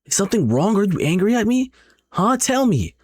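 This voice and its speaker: A man's voice: a little high, lively intonation, brisk, mellow, no echo, pitched above the performer's own voice. A man voicing a worried, pushy young woman who fires insistent questions in a horror story retelling. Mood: upset, scolding. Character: worried, pushy young woman scolding her silent friend.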